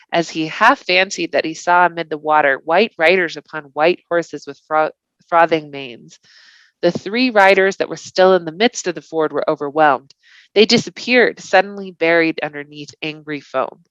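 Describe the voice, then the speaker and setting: Female storybook narrator, slightly low voice, expressive dramatic phrasing, dry and slightly dull recording. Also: clean tone, no room sound. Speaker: volunteer storybook reader. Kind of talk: scripted narration, bedtime novel reading.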